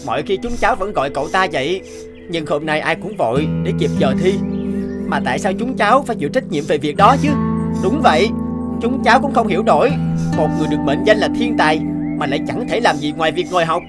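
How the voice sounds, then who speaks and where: An actor playing a resentful young student in a cartoon, complaining indignantly about a roommate. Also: male voice, high voice, expressive, fast, loud music behind the voice.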